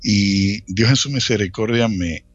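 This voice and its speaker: A man in his late thirties with a Dominican accent, telling his own story casually as an interview guest, often pausing and repeating words. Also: lowish voice, dry recording, highs cut off. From Dominican Republic. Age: late 30s. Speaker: interview guest, church ministry worker.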